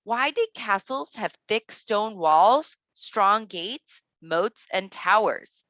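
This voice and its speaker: A woman teacher reading aloud to kindergartners, speaking deliberately and instructively, with asides addressed directly to the children. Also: medium pitch, lively intonation, a bit bright, dry recording, dull audio.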